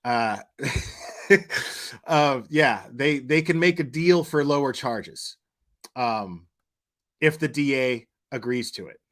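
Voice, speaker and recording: A man's voice: mid-range voice, lively intonation, slow pace, long pauses, a bit bright. A man hosting a live reaction stream with casual commentary, speaking bluntly and informally with some swearing.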